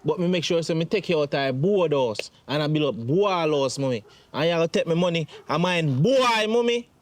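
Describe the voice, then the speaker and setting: A man with a Jamaican accent playing a distraught grown son in a domestic drama, pleading and reproachful, with halting, repetitive speech. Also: a little high, expressive, no echo, lightly acted. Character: distraught grown son reproaching his mother over money. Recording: faint background noise.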